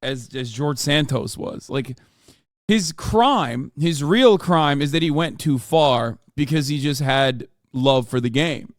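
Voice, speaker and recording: A man's voice: mid-range voice, lively intonation, takes it slowly, no room sound, clean studio sound. Profane rambling man streamer. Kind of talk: casual commentary while gaming.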